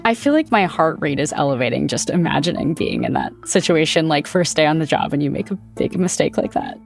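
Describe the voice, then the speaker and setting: A woman's voice: sits low, very animated, fairly quick, vocal fry, soft background music. A woman hosting a podcast, asking questions and recapping in a conversational style. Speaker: podcast host.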